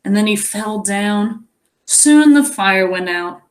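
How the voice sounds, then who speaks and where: A woman reading a picture book aloud as a teacher, expressively, pausing to explain and think aloud for young children. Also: medium pitch, expressive.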